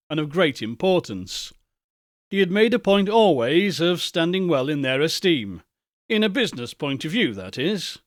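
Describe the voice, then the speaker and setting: A man reading a classic ghost story aloud as an audiobook, in a measured, expressive storytelling manner. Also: fairly high pitch, no room sound, studio quality. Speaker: audiobook narrator. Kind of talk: scripted narration of a classic novel.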